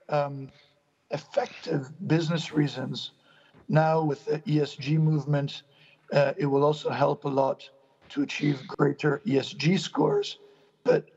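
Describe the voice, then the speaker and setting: A middle-aged man joining a panel by video call, his voice husky and worn as he is losing it, speaking deliberately. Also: darkish tone. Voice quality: husky, low. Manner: deliberate, repeats words. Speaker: company executive, remote panelist. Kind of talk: conference panel answer. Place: room at home, played into a hall. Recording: dull video call in a reverberant hall.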